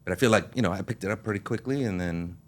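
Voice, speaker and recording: A man born in Mexico, a guest in a casual interview, telling his story in a relaxed way and laughing easily. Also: on the low side, rapid-fire.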